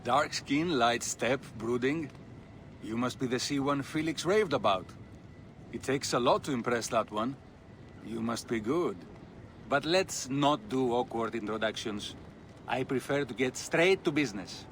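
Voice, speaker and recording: No-nonsense recruiter of fighters in a game, average pitch, slight street noise. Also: male voice, animated, unhurried, a bit bright. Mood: appraising, welcoming. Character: brisk, businesslike man welcoming mercenary fighters. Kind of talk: video game cutscene acting. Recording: faint urban outdoor ambience noise.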